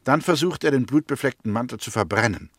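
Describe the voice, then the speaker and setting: An actor playing a confident, suspicious prosecutor in a 1960s German radio play, laying out his case deliberately and insistently. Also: medium pitch, lively intonation, a little hiss or hum, lightly acted. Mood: deliberate, narrating. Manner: deliberate, insistent, narrates theories step by step.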